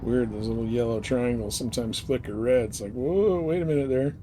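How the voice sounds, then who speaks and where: A man's voice: mid-range voice, a little fast, noisy background. A man giving casual, laid-back gameplay commentary on a live stream, talking half to himself and half to viewers. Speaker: game streamer.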